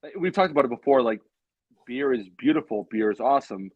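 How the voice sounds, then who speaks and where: A man's voice: mid-range voice, lively intonation, fast, dry recording, dull audio. A man in his late thirties chatting casually as a show co-host, in a rambling way that repeats phrases for emphasis. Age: late 30s. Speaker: co-host of a beer hangout show. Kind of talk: casual chat.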